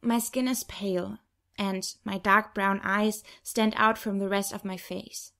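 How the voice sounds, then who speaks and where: Woman reading fiction expressively, mid-pitched. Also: a little slow, vocal fry. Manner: expressive storytelling. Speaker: audiobook reader. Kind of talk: scripted first-person fiction narration.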